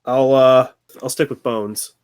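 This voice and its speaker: A man's voice: mid-range voice, steady tone, a little slow, darkish tone, clear, resonant tone, dry recording, studio quality. Slangy man at a game table. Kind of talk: casual joking game chat.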